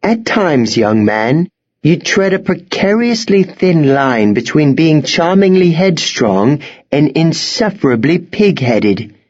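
A stern, protective headmistress from an audiobook, acted by a man. The voice is raised high, with formal, precise phrasing and an air of command. The delivery is measured, in a slightly muffled recording. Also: very animated, a little mellow, heavily acted. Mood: dryly formal. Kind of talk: audiobook character acting.

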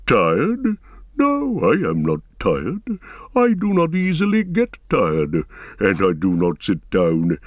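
Casting: ancient tree giant, a man putting him on, unhurried, humming, pitch swooping widely. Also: dry recording, heavily acted. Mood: thoughtful. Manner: slow, drawn-out, humming hmms. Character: ancient slow tree giant, male, patient, rumbling. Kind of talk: audiobook character voice.

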